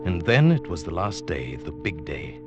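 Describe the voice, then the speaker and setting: Low-voiced, meek, scheming husband. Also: male voice, big pitch swings, lo-fi sound, music in the background. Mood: confiding, scheming. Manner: mild, hesitant, deliberate, polite. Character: meek, henpecked older husband secretly plotting, narrating his tale. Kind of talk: old-time radio suspense acting, confiding narration.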